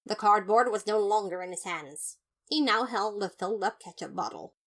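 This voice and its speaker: A woman narrates a fan fiction audiobook in the first person. Her voice sits at an average pitch for a woman, and her delivery is theatrical and emphatic. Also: moderate pace, fairly bright tone. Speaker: podfic reader.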